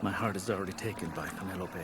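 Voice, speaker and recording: A man playing a composed, shrewd Greek warrior king in a game, talking in a calm and calculating way. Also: deep voice, quick pace, close to an everyday voice. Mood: calm, scheming. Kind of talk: dramatic game cutscene acting. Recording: noisy ambience behind the voice.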